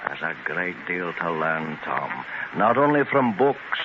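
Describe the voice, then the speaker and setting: An actor playing a determined, idealistic older Scottish industrialist in 1930s radio drama style, speaking with plain, emphatic conviction. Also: male voice, low-pitched, big pitch swings, measured pace. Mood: earnest, idealistic. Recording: muffled, noisy 1930s radio transcription.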